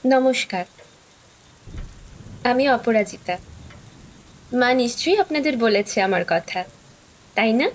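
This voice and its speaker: A woman's voice: a little high, animated, takes it slowly, long pauses, poor audio. A woman playing a sweet, hospitable young village woman in an audio horror story, speaking politely with a coaxing, playful edge. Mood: polite, curious. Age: young adult.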